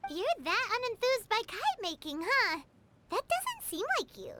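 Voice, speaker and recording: A woman voicing a tiny, greedy, childlike fairy companion in a game cutscene, squeaky, cheeky and dramatic. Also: big pitch swings, measured pace, very noisy, heavily acted. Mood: curious. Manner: dramatic, speaks of herself in third person. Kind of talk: video game cutscene acting. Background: loud music.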